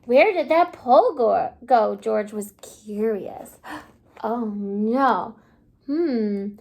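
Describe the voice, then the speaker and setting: A woman kindergarten teacher reading a story aloud to young children, expressive and pausing to prompt them with questions. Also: on the high side, gravelly.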